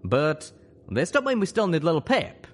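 A man's voice: a little high, huge pitch swings, moderate pace, voice pushed up. A man voicing a brash, folksy pegasus pony fighter with a drawl in an audiobook. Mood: worried.

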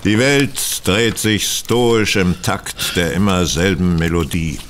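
A man's voice: low voice, slow pace, fairly bright tone, a little hiss or hum. A man playing a bored, gloomy, sardonic Victorian detective with theatrical, dry delivery in a German audio drama. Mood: gloomy, weary.

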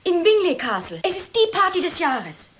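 An actress playing a pleading, disappointed young assistant matron in a radio play. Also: female voice, very high-pitched, sing-song, normal speed, a bit bright, exaggerated voice. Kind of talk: German radio-play acting.

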